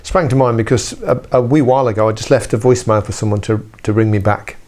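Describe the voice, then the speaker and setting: A man's voice: medium pitch, fast talker, darkish tone. A middle-aged British man presenting an informal video blog as a trainer, chatty and self-correcting, with wry asides. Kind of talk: informal instructional talk to camera.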